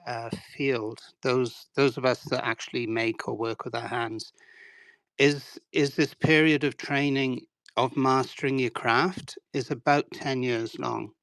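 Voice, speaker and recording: A man in his sixties from Wales speaks as an interview guest, telling his story in a loose, self-deprecating way with plenty of "sort of" and "um". His voice is smooth and resonant, recorded dry and close with no room sound. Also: mid-range voice, fairly bright tone, dry recording, rough recording. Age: 60s. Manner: rambling, self-deprecating, many hedging fillers.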